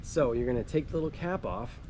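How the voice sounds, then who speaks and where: A man's voice: on the high side, a little fast, background noise. A man talking casually and informally as the host of a do-it-yourself car vlog, using plenty of slang as he explains things. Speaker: host of a car DIY channel. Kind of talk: casual how-to vlog talk.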